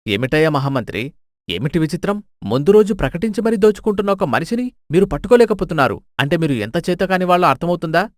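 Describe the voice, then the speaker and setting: Stern, scornful king for a storybook drama, played by a man, voice a touch high, very dry sound. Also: big pitch swings, quick pace, no echo. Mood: angry, threatening. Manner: commanding, scornful. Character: stern, exasperated king scolding his ministers. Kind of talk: dramatised folk tale dialogue.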